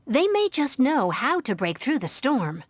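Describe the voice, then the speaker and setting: A woman voicing a calm, well-informed advisor in a video game, speaking in a measured, explanatory way. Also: sits high, exaggerated voice.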